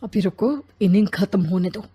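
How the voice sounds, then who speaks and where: A woman's voice: medium pitch, moderate pace, slightly rough, dull audio. A woman voicing an irritable, tired office-worker father in a cartoon family story, grumbling and exasperated. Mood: distracted.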